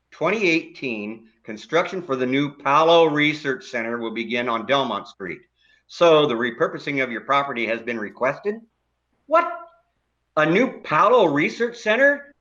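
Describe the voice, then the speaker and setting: Blustery comic neighbor acted by a man, bright forceful voice, big pitch swings, quick to bicker. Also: highs cut off. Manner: blustery, exclamatory, profane. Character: loud, defensive, gleeful bickering male neighbor. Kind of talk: comedic stage play acting.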